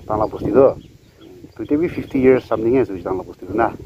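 Inquisitive man chatting over loud outdoor noise. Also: lowish voice, very fast. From Nagaland, India. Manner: inquisitive, frequent acknowledgements. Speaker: travel vlogger interviewing villagers. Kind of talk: casual chat. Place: outdoors on a village road. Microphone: handheld camera, behind it. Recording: dull outdoor camera audio, loud ambient noise.

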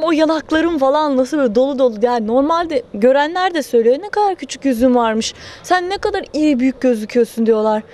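A woman in her thirties interviewed outdoors into a handheld mic, animated and joking about herself. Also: high voice. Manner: animated, self-mocking. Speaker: interviewee, model. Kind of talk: casual interview answers. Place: outdoor terrace.

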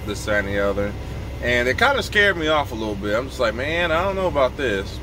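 A man in his thirties talks casually to camera from inside his truck's sleeper cab. He is laid-back and chatty, mid-pitched, and drops a friendly "y'all" often. A steady hum runs clearly underneath him. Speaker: truck driver vlogger. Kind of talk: casual vlog talk to camera.

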